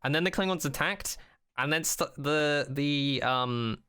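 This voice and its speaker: Bright, clear-voiced man ranting casually. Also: a little high, unhurried. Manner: animated retelling with mock quotes, frequent swearing. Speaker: podcast co-host. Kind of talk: casual chat, opinionated rant about a TV show.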